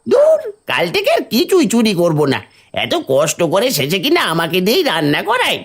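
A man's voice: sits high, huge pitch swings, brisk, full character voice. A man voicing a sly village thief in a cartoon folk tale, wheedling and grumbling theatrically. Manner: theatrical, wheedling, swooping delivery.

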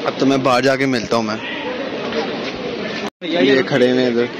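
A man vlogging casually and excitedly on a phone while out and about at a show, repeating his catchphrases. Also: mid-range voice, moderate pace, over a noisy crowd. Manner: excited, keeps repeating "level" and "oye". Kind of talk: casual vlog chatter. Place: outdoors and at a show venue. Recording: lo-fi phone audio with street noise.

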